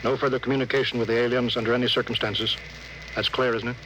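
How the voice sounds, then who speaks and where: An actor playing a commanding, weary spaceship captain, deliberate and authoritative, in a 1950s radio drama. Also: male voice, medium pitch, flat delivery, a bit bright, close to an everyday voice. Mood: grave, thoughtful. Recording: noisy vintage 1950s radio broadcast.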